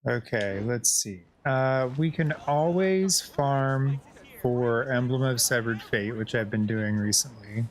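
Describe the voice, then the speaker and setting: A man's voice: mid-range voice, takes it slowly. A man giving casual, chatty gameplay commentary as a streamer, often addressing the game characters. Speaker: gaming streamer.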